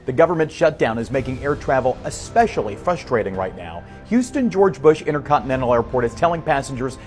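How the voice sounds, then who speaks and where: A man's voice: mid-range voice, big pitch swings, fairly quick, faint music behind. A man delivering a broadcast news report as a television reporter.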